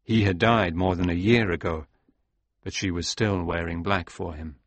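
A man acting as a sombre sailor looking back on his past in an audiobook. His voice is very low and sits close and dry, and he tells his story with grave, measured care. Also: no room sound. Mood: reflective, sombre. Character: Marlowe, sombre reflective male sailor recounting his past. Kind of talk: dramatised audiobook narration.

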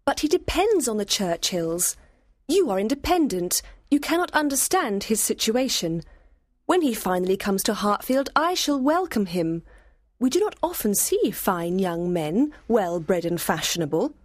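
An actress playing a proud, frank young gentlewoman in a dramatised period audiobook, speaking formally and emphatically. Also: medium pitch, big pitch swings, a little slow, dry recording, clean studio sound. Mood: spirited, defending.

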